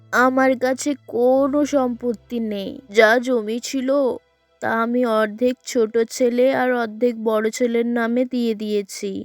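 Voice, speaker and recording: Woman voicing a plaintive storybook mother-in-law. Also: fairly high pitch. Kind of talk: cartoon storybook voice acting.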